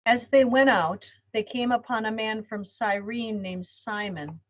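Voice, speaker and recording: A woman's voice: a little high, measured pace. A woman pastor reading scripture aloud in a dramatic group reading over a video call. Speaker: Lutheran pastor.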